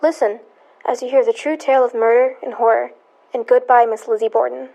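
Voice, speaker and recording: Woman acting dark-toned ominous horror narrator. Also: a little high, level tone, brisk, vocal fry, a little hiss or hum, poor audio. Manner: theatrical, suspenseful. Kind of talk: scripted radio horror introduction.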